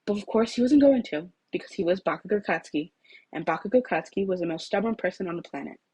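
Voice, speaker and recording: A woman narrating a story expressively in a husky voice. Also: fairly low pitch, animated, fast, a little mellow, rough recording. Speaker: fan fiction reader.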